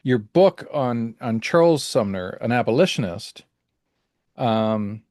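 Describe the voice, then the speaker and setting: A man's voice: medium pitch, expressive, takes it slowly, darkish tone, no echo, clean studio sound. A man from Canada hosting a podcast interview, asking questions in a friendly, hesitant way with many fillers. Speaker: podcast host.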